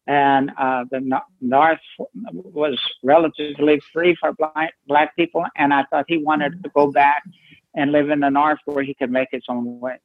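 A man's voice: a little high, little pitch movement, normal speed. A man talking casually in a book discussion. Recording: tinny phone-like narrow band.

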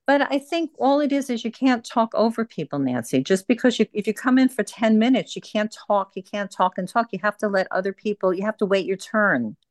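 A woman hosting an informal book group discussion, speaking haltingly and often repeating her phrases. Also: mid-range voice, lively intonation.

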